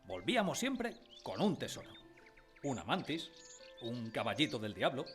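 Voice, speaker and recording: A man's voice: mid-range voice, wildly swooping pitch, moderate pace, fairly bright tone. An actor narrating a radio drama as a man recalling his village childhood, wistfully, in a literary style. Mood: nostalgic. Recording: music behind the voice.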